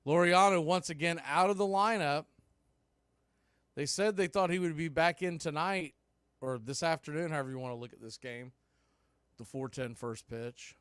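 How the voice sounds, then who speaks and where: Male play-by-play announcer, fairly high voice, relaxed with wry asides, close dry mic. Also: moderate pace, smooth, no room sound. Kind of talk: baseball play-by-play commentary.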